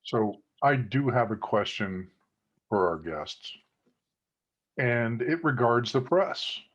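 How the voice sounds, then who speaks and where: A man hosting a podcast, asking his guests a question haltingly and searching for words. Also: medium pitch, expressive, lo-fi sound. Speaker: podcast host. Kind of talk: casual interview questions.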